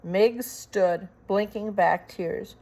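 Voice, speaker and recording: A woman reading a children's story aloud as narrator, with dramatic, deliberate phrasing. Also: medium pitch, dark tone. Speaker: storybook reader.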